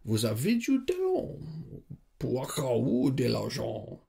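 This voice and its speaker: A solemn, weary wizard acted by a man talks in a fantasy roleplay. The voice is heavily gravelly and raspy, very slow and halting, with big swings in pitch. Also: huge pitch swings, dark tone, full character voice. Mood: solemn, earnest. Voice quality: husky, gravelly. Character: weary long-reigning wizard seeking a successor. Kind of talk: ASMR fantasy roleplay film.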